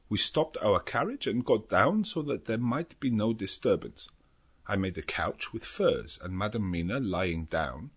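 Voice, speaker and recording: Earnest old professor, swooping intonation. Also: male voice, medium pitch, breathy edge, full character voice. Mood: solemn, earnest. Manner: halting, non-native English phrasing, deliberate and dramatic. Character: elderly foreign professor, earnest, grave, devout vampire hunter. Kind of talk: dramatic audiobook narration of a journal.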